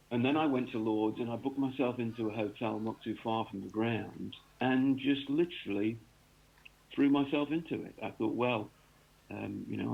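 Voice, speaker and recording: A man's voice: medium pitch, creaky, slightly rough. A man from North East England, a cricket author, talking through his work in a rambling radio interview full of ums and restarts. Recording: tinny phone line.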